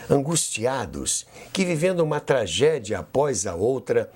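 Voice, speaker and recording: A Brazilian man hosts a scripted history narration. His voice sits fairly high and swings widely in pitch. He tells it like a practised storyteller, with measured, emphatic phrasing. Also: darkish tone. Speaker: host of a radio history show.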